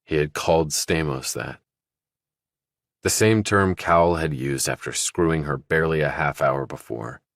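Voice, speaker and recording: A man's voice: rumbling low, no echo, clean studio sound. A man reading scripted thriller narration as an audiobook narrator.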